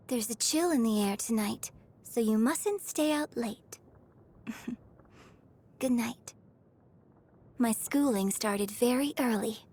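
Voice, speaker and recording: A woman's voice: a little high, darkish tone. A woman voicing a gracious young opera troupe director in a video game, with formal, poised and theatrical phrasing. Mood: modest. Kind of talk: scripted video game character lines. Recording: music playing behind the voice. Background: music.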